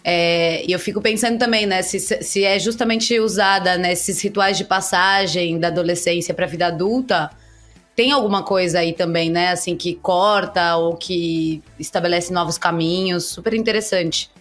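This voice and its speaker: A woman hosting a podcast, framing her interview questions in a measured, thoughtful way. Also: lowish voice, steady tone, fairly bright tone, soft background music. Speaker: podcast host.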